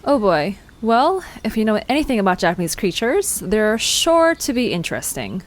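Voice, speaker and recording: A woman's voice: medium pitch, big pitch swings, normal speed, lightly acted. A woman playing a blunt, easily flustered young amateur investigator in an audio drama, with a hesitant, sardonic way of talking. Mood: curious. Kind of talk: audio drama dialogue, conversational.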